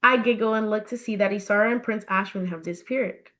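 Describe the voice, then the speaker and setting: A woman reading her own book aloud as an expressive, animated storyteller, with emphatic phrasing. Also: mid-range voice, fast. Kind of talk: expressive scripted storybook narration.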